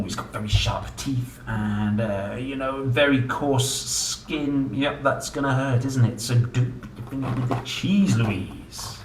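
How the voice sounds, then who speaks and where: A man reading a picture book aloud to young children in a playful, theatrical way, exclaiming and putting questions to the listener. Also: mid-range voice. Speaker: children's book reader and host. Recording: roomy, distant mic, clearly noisy.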